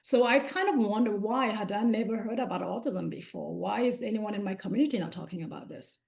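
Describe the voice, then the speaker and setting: A woman's voice: medium pitch, fairly quick, vocal fry, darkish tone. A woman from Cameroon whose first language is French, giving an earnest talk as a guest speaker at a conference, with frequent "uh" fillers.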